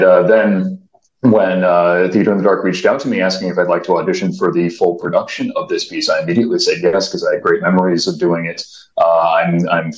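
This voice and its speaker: Deep radio-toned man in a roomy space. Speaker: voice and stage actor, interview guest. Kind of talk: measured interview talk. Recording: roomy echo, dull muffled sound.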